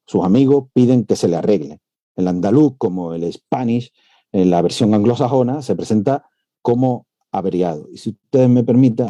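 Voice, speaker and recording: A male professor giving an academic lecture over a video call. He speaks in a measured way, with frequent "eh" hesitations. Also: low voice, mellow, no echo. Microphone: computer mic on a video call.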